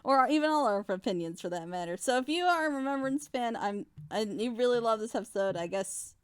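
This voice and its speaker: Lively young-sounding, high-voiced woman host. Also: a little fast, smooth. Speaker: host of a group reaction show. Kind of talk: casual reaction commentary with friends.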